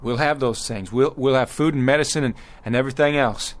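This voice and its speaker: An actor playing an earnest, desperate pioneer husband who leads a wagon party and speaks haltingly in a radio drama. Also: male voice, medium pitch, natural voice. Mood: urgent, reassuring. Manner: halting, stammering, repeating words.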